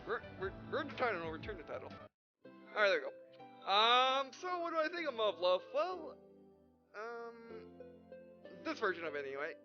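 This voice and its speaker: A man's voice: sits high, dull audio. A man casually commenting on a game he is playing, rambling and often restarting his phrases. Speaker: let's play host. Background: game music.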